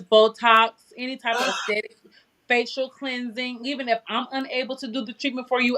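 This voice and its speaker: A woman's voice: on the high side, fairly bright tone. A woman in her forties, a guest promoting her business in casual chat, speaking over a phone video call. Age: 40s. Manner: chatty, promotional, repeats "you know". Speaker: guest, aesthetics business owner.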